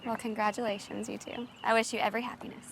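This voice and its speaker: An actress playing an earnest, polite young clinic counselor in a naturalistic film drama. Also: female voice, on the high side, little pitch movement, fast, gravelly, slight background noise, low-quality recording. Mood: friendly.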